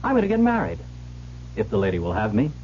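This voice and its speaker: A man's voice: fairly low pitch, wildly swooping pitch, fast, darkish tone. An actor playing a brash, carefree young heir who tells his own story in a radio drama, wry and breezy. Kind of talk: 1970s radio drama acting with first-person narration. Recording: dull old radio recording, faint hum.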